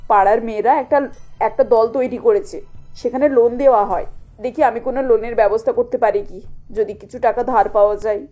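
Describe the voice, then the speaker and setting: A woman's voice: fairly high pitch, steady tone, fairly quick, dark tone, lo-fi sound, soft background music, lightly acted. A woman voicing a worried, self-sacrificing middle-aged mother in a cartoon story, meek and placating. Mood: worried, practical.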